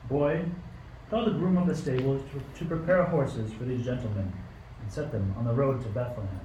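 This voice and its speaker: Man acting as tyrant king, radio play, imperious, declamatory, suspicious, medium pitch, roomy sound with faint noise. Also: normal speed, warm tone, recorded from a distance, lightly acted. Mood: measured, scheming. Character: King Herod, stern, suspicious, bitter tyrant ruler.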